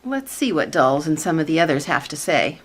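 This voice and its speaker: A woman playing a skeptical, wry commission staffer in a sitcom-style radio play, speaking in a measured way. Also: sits low, very animated, breathy edge, heavily acted.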